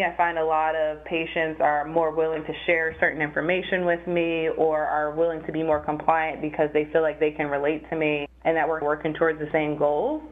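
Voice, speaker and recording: A young adult woman, a physical therapist, answering interview questions in a measured, explanatory way. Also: deep voice. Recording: phone-like narrow sound.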